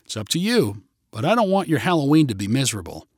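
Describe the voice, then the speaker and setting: Calm tactful guy soothing someone, read by a male narrator, kinda husky, dry sound. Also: mid-range voice, quick pace, no echo. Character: calm, tactful man soothing his partner.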